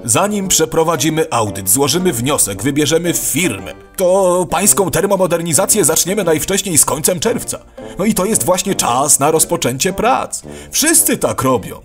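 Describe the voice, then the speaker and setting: Man acting an animated, exasperated helpful neighbour. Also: on the high side, moderate pace, faint music behind, close to an everyday voice. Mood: persuasive. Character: patient but exasperated tidy neighbour, persuasive and practical. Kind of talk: scripted radio play dialogue.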